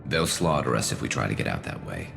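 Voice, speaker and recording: A weary alien sniper in a game, super deep n croaky, dry humor, music playing loud behind. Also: male voice, very animated, fast, exaggerated voice. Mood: dry, steady. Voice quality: gravelly. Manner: dry, understated, wry. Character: battle-weary male alien vigilante sniper, wry and loyal. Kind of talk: video game dialogue acting. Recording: reverberant game audio under loud music.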